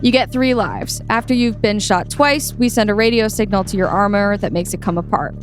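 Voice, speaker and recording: A woman's voice: medium pitch, a little fast, soft background music, close to an everyday voice. A woman playing a cocky, callous rich teenage boy gamer in an audio play. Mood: smug, offhand. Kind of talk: audio play table read.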